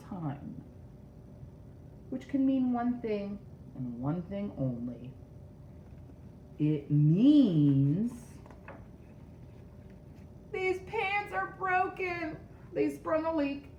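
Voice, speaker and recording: A woman voicing an indignant, self-important male storybook character who accuses his friends with sarcastic melodrama. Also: fairly low pitch. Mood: exasperated. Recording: roomy echo, dull sound, faint noise.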